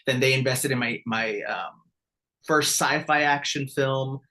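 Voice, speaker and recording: A man in his forties from Texas answers interview questions about his work as a film director. He speaks earnestly, pausing and restarting now and then. His voice sits at an ordinary middle pitch. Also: bright, forceful tone. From El Paso, Texas.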